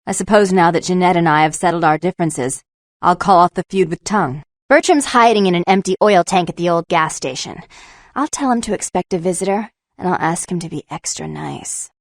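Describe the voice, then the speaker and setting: A woman's voice: lowish voice, fairly quick, no echo, natural voice. Prim, haughty woman acting a imperious businesswoman, dry studio. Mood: composed. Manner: clipped, formal, haughty. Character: prim, imperious businesswoman sister, cold and controlling. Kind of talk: video game voice acting.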